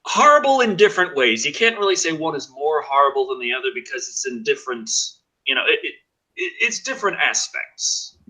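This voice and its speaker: Bright, lively-voiced man. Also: on the high side, brisk, low-quality recording. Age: 30s. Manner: animated, joking, reads chat donations aloud. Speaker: host of a livestream show. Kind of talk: casual livestream chat.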